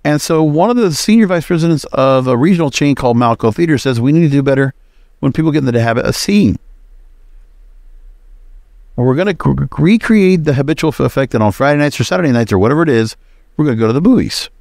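A man's voice: on the high side, lively intonation, quick pace, darkish tone, no room sound, studio quality. A man hosting a podcast solo with casual, conversational commentary, dropping opinionated asides in short, choppy sentences. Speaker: podcast host.

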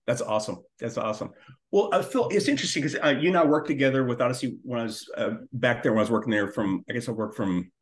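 Animated, enthusiastic male host. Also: medium pitch, quick pace. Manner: enthusiastic, effusive. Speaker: podcast host and interviewer. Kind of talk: casual chat. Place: living room, indoors, video call.

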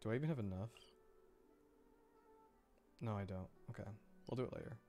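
A man's voice: lowish voice, a little fast, creaky, mellow, faint music behind. A man chatting casually on a game livestream, muttering and thinking aloud to himself as he plays. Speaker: VTuber game streamer. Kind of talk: casual livestream gameplay chat.

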